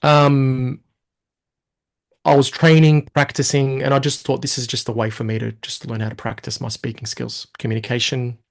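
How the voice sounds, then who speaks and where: A man in his thirties chats as an interview guest, his voice sitting at an everyday middle pitch. He tells his story with energy, slipping into quick acted-out asides of what he thought at the time. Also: creaky. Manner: animated storyteller, quotes himself in lively asides. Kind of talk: casual interview talk.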